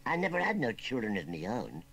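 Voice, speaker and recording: A man voicing a rustic, sentimental old scarecrow uncle with a West Country accent, rambling and plaintive, in a raspy storybook voice. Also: on the high side, big pitch swings, moderate pace, a bit bright, slight background noise, voice pushed up. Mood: mournful, wistful. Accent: English West Country.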